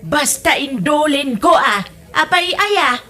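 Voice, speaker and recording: A woman playing a stingy, meddling Ilocano mother-in-law in a comedy audio drama, with an Ilocano accent and a husky voice. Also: high voice, animated, slow pace, bright, forceful tone, exaggerated voice. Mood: scolding, stubborn.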